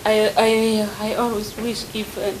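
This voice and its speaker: A woman leading a casual group discussion, with halting, simply phrased speech and frequent "mm" backchannels. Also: mid-range voice, completely flat delivery, rough recording. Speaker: discussion leader.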